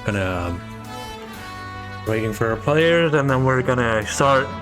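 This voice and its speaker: A man's voice: mid-range voice, lively intonation, a little slow, music in the background. Casual man doing solo esports commentary. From Germany. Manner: casual, rambling, chatting with viewers. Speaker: tournament organizer.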